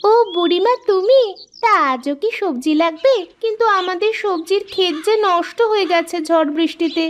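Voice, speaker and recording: A woman voicing an eager, curious, kind-hearted little village girl in a cartoon, excitable and full of questions. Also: very high voice, normal speed, slight background noise, pitched above the performer's own voice. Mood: curious, surprised.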